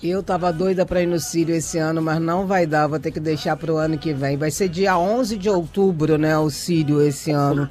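A woman's voice: low voice, steady tone, normal speed, hardly any pauses, a little mellow. A woman interviewing in a chatty, informal way as a radio host. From Brazil. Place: radio studio.

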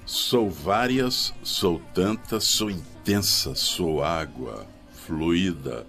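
A man's voice: sits low, lively intonation, extremely slow, gravelly, a bit bright, soft background music. A man co-hosting a live radio talk show in a studio, enthusiastically reading aloud and listing songs. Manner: enthusiastic, reads aloud and lists song titles. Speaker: radio co-host. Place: radio studio with faint music behind.

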